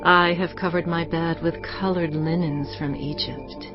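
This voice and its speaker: An actress playing a brazen married temptress in a dramatised scripture, coaxing with smooth, persuasive words. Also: female voice, fairly low pitch, steady tone, a little slow, close to an everyday voice. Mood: seductive, coaxing. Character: seductive, brazen married temptress luring a youth. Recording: muffled, music prominent behind voice. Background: prominent background music.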